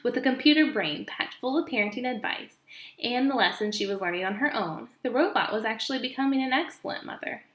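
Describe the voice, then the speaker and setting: A woman reading a children's book aloud as an expressive storyteller, recorded in an echoey room. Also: a little high, a little fast.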